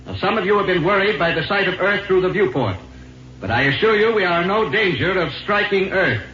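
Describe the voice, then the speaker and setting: A man's voice: sits high, animated, a little fast, pushed and bright. An American man playing a weary, resolute spaceship captain in 1950s radio drama, clipped and commanding. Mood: firm, reassuring. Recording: muffled, noisy 1950s radio recording.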